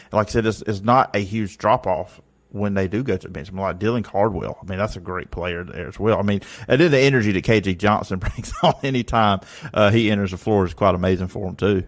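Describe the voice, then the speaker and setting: Deep-voiced sports podcast host. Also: male voice, fast. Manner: relaxed, filler-heavy agreeing. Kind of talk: casual sports chat.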